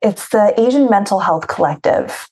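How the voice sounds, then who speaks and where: A woman therapist interviewing in a casual conversation, affirming and asking measured, open questions. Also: mid-range voice, expressive, warm tone.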